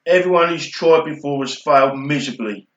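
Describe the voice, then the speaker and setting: A man's voice: a little high, fairly quick, a bit bright, close to an everyday voice. Creepy, ominous male villain played by an amateur man for radio drama; threatening, melodramatic, roomy muffled sound. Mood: menacing. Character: creepy menacing male rival bidder, ominous and bitter. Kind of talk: amateur radio play acting. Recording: roomy, dull home recording.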